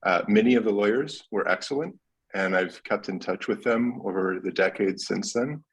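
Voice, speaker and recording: A man's voice: on the low side, normal speed, breathy edge, poor audio. A man with an American accent, a panel guest who tells his career story candidly in a conversational way. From United States. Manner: candid, relaxed.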